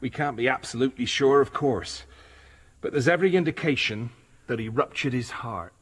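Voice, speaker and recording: An actor playing a polite, matter-of-fact policeman in a radio drama, deferential and deliberate. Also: mid-range voice, a bit bright, husky. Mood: solemn, official.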